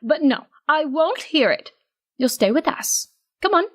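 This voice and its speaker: A woman's voice: sits high, expressive, unhurried, fairly bright tone, voice pushed up. A woman voicing a scolding, fussing young female pony who plays music and talks in an exasperated, insistent way.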